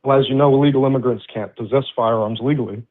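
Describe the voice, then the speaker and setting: A man in his thirties comes through thin and tinny, like a phone line. He talks in a measured, halting way and often restarts his sentences. His voice sits at an ordinary pitch. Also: steady tone. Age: 30s. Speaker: caller. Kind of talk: casual debate on a call. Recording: tinny phone-like call audio.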